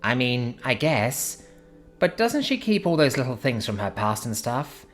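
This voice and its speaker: A dry, sarcastic young woman who sounds unimpressed by everything, voiced plainly by a man reading. She answers in clipped, even remarks. A little music hums faintly underneath. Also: medium pitch, measured pace, voice pushed up.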